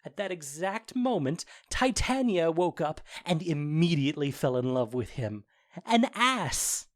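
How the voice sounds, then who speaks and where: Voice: mid-range voice, a little slow. An actor playing a mischievous fairy servant in an audio drama, telling things with gleeful, boastful theatricality. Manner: animated, boastful storytelling.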